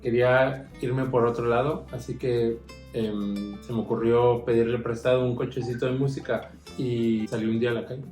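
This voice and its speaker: Drag performer, male, mid-pitched conversational delivery, echoey room with faint music. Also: fairly even intonation, moderate pace, a little mellow. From Mexico. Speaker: drag queen street performer. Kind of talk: personal interview talk.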